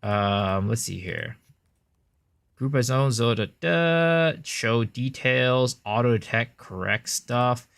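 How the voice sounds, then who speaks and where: A young man with an American accent talks through his game at a home desk mic, his pitch swinging up and down a lot. He chats loosely, muttering to himself, then jumps into loud, excited thanks for viewers. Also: takes it slowly, vocal fry, smooth. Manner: chatty, thanks viewers with big excited bursts. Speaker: livestreamer. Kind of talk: casual gameplay commentary.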